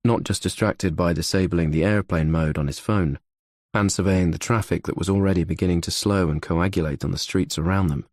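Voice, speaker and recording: A man's voice: deep voice, darkish tone, no room sound, studio quality. A man narrating a suspense audiobook in the third person.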